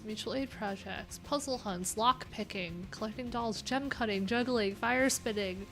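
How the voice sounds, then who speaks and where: A woman's voice: medium pitch, fairly bright tone. A woman hosting a casual livestream, reading out viewers' answers and adding loose, chatty asides with lots of fillers. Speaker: livestream host. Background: pop punk music playing.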